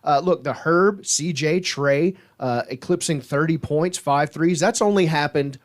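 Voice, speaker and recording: A man with a slightly high voice co-hosts a sports podcast. His talk is casual and colloquial, with an enthusiastic way of making his points. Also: measured pace. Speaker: podcast co-host.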